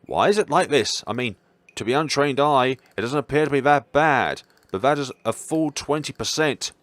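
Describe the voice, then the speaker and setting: A man voicing a paranoid, conspiracy-obsessed male high school student in a visual novel, making ominous, earnest pronouncements. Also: animated, moderate pace, fairly bright tone, no echo, close to an everyday voice. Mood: earnest, insistent. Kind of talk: visual novel character reading.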